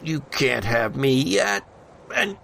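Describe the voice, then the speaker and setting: Guy running a horror rpg, slow suspenseful narration, gravelly voice, bit of background noise. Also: medium pitch, animated, sharp tone. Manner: deliberate, suspenseful, with drawn-out pauses. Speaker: game master. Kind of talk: dramatic tabletop horror narration.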